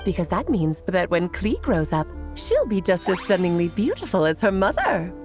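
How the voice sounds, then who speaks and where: A woman's voice: fairly low pitch, wildly swooping pitch, normal speed, a little mellow, faint music behind. A woman voicing a playful, self-admiring great mage in a video game, with a theatrical, teasing, breezy manner. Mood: delighted.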